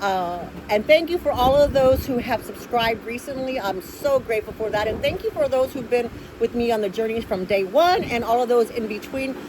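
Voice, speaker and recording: A woman vlogger speaks in a high, excitable voice. She chats casually and effusively, as if showing off treasures to her regular viewers. There is clear ambient noise behind her. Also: moderate pace, hardly any pauses. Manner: gushing, effusive, easily excited. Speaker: vlogger, host of an unboxing channel. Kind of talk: casual excited unboxing chat.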